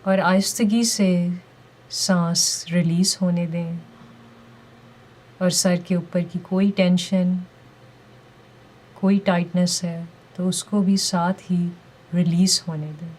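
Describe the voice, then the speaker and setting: Slow, low-pitched woman guiding meditation. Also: poor audio. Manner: calm, gentle, deliberate phrasing with pauses. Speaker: guided meditation instructor. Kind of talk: guided meditation, slow soothing instruction.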